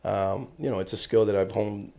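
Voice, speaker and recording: A middle-aged actor from Cuba talking casually in a podcast interview, an animated storyteller who swears freely. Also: male voice, fairly low pitch, normal speed, vocal fry. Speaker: actor guest.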